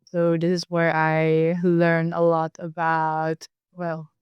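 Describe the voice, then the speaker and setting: A woman's voice: deep voice, takes it slowly, clean tone, no room sound, lo-fi sound. A young woman from Indonesia in her twenties, a nutritionist chatting casually as a guest and laughing easily. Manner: relaxed, laughs easily, uses lots of "like". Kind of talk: casual interview chat.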